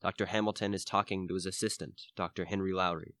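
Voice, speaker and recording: A man's voice: sits low, steady tone, quick pace, a bit bright, no room sound. A teenage male announcer giving dramatic scripted narration for a radio play.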